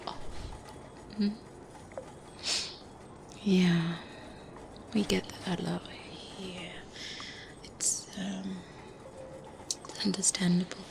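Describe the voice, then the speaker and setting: A woman playing a softly sinister, polite specialist doctor in an ASMR roleplay, hesitant and insinuating, in a breathy whisper with murmured hums. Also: medium pitch. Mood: softly attentive, then hushed, knowing.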